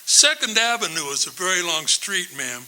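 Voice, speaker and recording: A man's voice: high-pitched, big pitch swings, moderate pace, bright, forceful tone, heavily acted, low-quality recording. A man acting a dismissive police desk officer in a live radio play, patronizing and brushing off the caller.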